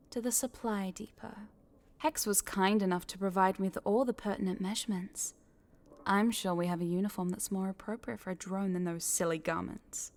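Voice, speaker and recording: A woman's voice: medium pitch, natural voice. Smug, teasing corporate boss lady, acted by a woman. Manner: teasing, condescending, drops into breathy whispers. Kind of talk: scripted audio roleplay spoken to the listener.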